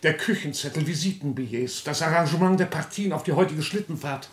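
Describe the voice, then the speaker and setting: An actor playing a vain, foppish court marshal in a classical radio drama, chattering in an affected, effusive manner. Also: male voice, fairly high pitch, a little fast, roomy sound, lightly acted. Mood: effusive, fussy.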